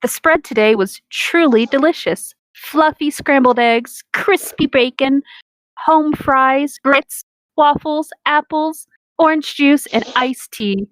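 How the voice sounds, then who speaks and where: Female comedy podcast co-host, higher voice, dry close sound, sarcastic and exasperated, sweary. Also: slow, fairly bright tone, no room sound, low-quality recording. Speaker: podcast co-host reading fan fiction aloud. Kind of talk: casual comedic commentary and read-aloud.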